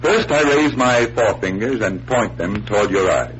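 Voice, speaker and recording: A male stage magician who solves crimes in an old radio drama. He is assured and teasing, with a theatrical, very lively delivery at a medium pitch. The vintage recording is muffled and slightly hissy. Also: normal speed. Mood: showmanlike, assured. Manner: theatrical, precise, playful taunting. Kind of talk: 1940s radio mystery acting.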